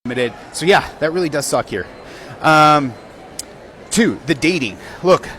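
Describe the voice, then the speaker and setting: A man's voice: a little high, lively intonation, a little slow, fairly bright tone. Mexican American man, frank vlogger, recorded outside with faint traffic hum behind. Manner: blunt, frank. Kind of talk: casual opinion vlog.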